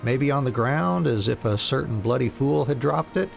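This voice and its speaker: A man acts out a forgetful, self-mocking freedom fighter from a fantasy game. He sounds chatty and sheepish, middling in pitch with some gravel, and faint music plays behind. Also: expressive, moderate pace. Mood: sheepish, pleading. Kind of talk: reading fantasy game dialogue aloud.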